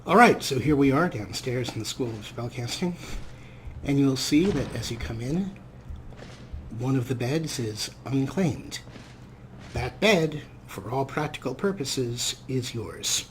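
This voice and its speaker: A man's voice: mid-range voice, animated, slight background noise. A man hosting a let's play, giving casual, wry gameplay commentary as if half talking to himself. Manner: wry, unhurried, talks to himself.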